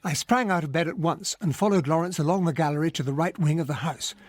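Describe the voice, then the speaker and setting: An actor playing an earnest English army captain who narrates a radio mystery in a well-spoken, expressive way. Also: male voice, a little high, fast, dry recording, close to an everyday voice. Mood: grave recollection. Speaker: narrator and character in a radio drama. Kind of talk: first-person radio drama narration.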